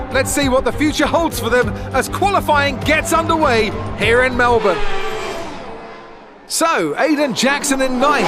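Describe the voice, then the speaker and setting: Excited race commentator. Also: male voice, very high-pitched. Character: energetic male TV race commentator.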